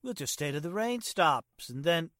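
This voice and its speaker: A man's voice: a little high, big pitch swings, moderate pace, a bit bright, no room sound. A man playing a brash, teasing teenage boyfriend with cocky, slangy speech, in the style of 1940s radio drama. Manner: slangy, cocky, casual grammar. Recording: noisy recording with rain.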